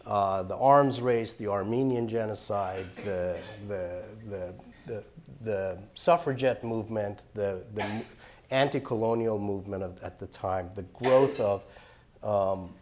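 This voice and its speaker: A man's voice: fairly low pitch, measured pace, noisy background. A middle-aged man from Iran, executive director of a human rights group, giving an earnest, explanatory talk at a live public forum.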